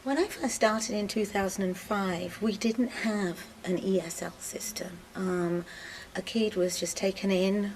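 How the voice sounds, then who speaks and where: A woman's voice: mid-range voice, gravelly, a little hiss or hum, rough recording. A woman English teacher explaining her school's programs in an interview, in a practical, explanatory way. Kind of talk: interview answer.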